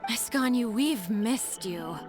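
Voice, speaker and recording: A worn-out female office clerk in a game. She drawls very slowly in a fairly high voice, weary and worshipful, with music underneath. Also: lively intonation. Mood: adoring. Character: weary overworked female clerk, slow, drawn-out and adoring. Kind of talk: video game voice acting.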